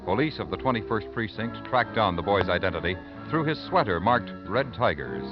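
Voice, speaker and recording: A male 1950s radio announcer with an animated, varied delivery. He reads scripted copy over clear music. The vintage broadcast sounds dull. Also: fairly low pitch, a little fast.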